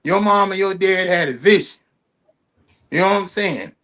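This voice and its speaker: A man hosts a casual interview, his voice sitting a little high. He rambles eagerly, circling back and repeating himself as he talks. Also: moderate pace, fairly bright tone. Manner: enthusiastic, rambling, heavy fillers and repeats. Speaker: host.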